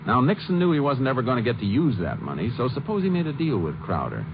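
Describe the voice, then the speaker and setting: An actor playing a steady, determined Texas Ranger lawman in a 1950s radio drama, terse, assured and matter-of-fact. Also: mid-range voice, quick pace, background noise. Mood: confident reasoning. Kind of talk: 1950s radio drama acting and first-person narration. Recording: muffled 1950s radio broadcast, music behind.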